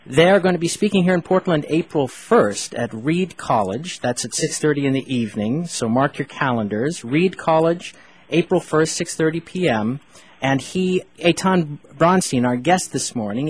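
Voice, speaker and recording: Husky-voiced male radio host. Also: a little high. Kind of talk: radio hosting and announcements.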